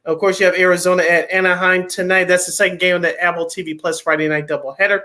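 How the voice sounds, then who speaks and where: A man co-hosts a sports radio show into a studio mic, his voice high and bright. He moves fast through lists of games and throws in wry, offhand remarks along the way. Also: level tone. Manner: reads schedules in quick runs, wry asides. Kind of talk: casual sports radio chat.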